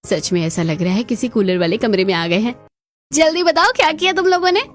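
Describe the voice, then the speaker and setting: A woman acts out a homely housewife in a family cartoon. She is chatty and exclamatory in a fairly high voice, with faint music behind her lines. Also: animated, fairly quick, natural voice. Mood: excited, teasing. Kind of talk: scripted cartoon dialogue.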